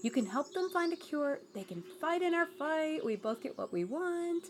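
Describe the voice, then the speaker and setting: A fiery young woman in a fantasy audio drama, acted out by a woman, with a high-pitched voice. She is pushy and sarcastic, quick to complain, and full of resentment. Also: expressive, a little hiss or hum. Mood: pushy, scheming. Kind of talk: fantasy audio roleplay acting.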